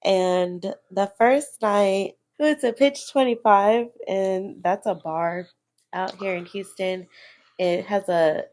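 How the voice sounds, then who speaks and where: A woman co-hosts a casual podcast. She tells stories chattily and laughs often. Her voice sits at a middle pitch. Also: slow, darkish tone. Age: 30s. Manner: chatty, giggly storyteller. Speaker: podcast co-host. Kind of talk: casual chat.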